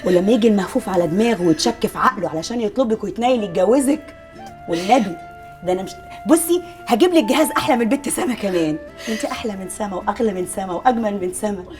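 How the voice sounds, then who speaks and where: An actress with an Egyptian Arabic accent playing a bossy, motherly, sharp-tongued mistress of a household in a TV drama, scolding and insistent. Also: medium pitch, lively intonation, very fast, faint music behind, lightly acted. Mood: fond scolding. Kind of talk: scripted TV drama dialogue.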